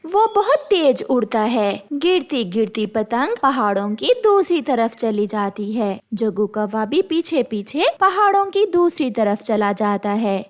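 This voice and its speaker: Female storyteller, scripted cartoon narration, high pitch, very animated intonation. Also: a little slow, hardly any pauses.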